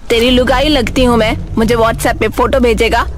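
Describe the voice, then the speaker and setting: A woman's voice: on the high side, fairly even intonation, moderate pace, close to an everyday voice. A woman voicing a brusque female police constable who answers curtly and scolds. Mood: scornful. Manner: curt, sharp-tongued, rustic. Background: background music.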